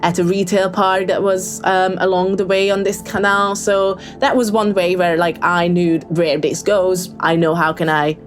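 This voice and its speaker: A woman's voice: on the low side, flat delivery, normal speed, hardly any pauses, soft background music. A woman telling a true story in a voicemail, hesitant and full of ums and restarts. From Hungary. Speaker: caller leaving a voicemail. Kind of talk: casual spoken true story.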